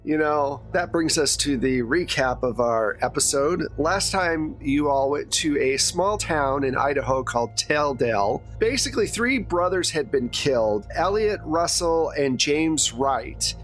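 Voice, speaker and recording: A man's voice: fairly high pitch, expressive, unhurried, few pauses, faint music behind. A man running a tabletop horror game, narrating conversationally with wry asides. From Wisconsin. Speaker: game master.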